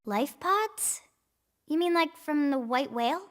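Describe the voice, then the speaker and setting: A woman's voice: high-pitched, expressive, measured pace. A woman playing a cheeky, eager teenage girl engineer in a video game, bubbly, brash and teasing. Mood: curious, teasing. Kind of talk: scripted video game cutscene acting. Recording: faint music behind the voice.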